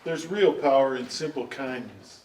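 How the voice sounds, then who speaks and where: A man's voice: mid-range voice, moderate pace, a little mellow, lightly acted. A man playing a loyal, devoted royal storyteller in a staged reading of a stage musical. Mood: wistful, resolute. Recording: echoey stage, distant mic, faint music.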